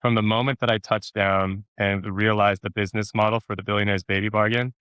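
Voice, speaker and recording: Fast-talking low-voiced man in interview. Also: clear, resonant tone, dry recording. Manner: animated storyteller, repeats words for emphasis and acts out quotes. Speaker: actor being interviewed. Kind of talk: casual interview chat.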